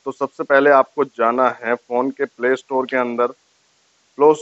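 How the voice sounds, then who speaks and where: A man's voice: medium pitch, level tone, unhurried, no room sound. Dull-sounding man explaining step by step. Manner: explanatory, repeats each step. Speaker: tech tutorial host. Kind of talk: casual step-by-step phone tutorial to camera. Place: small furnished room indoors, on a sofa. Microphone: lapel mic.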